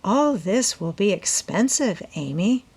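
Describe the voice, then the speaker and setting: A woman voicing a dignified, indulgent and practical mother with a measured, questioning manner in a storybook reading. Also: medium pitch, very animated, a little mellow, slightly rough, full character voice. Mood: doubtful.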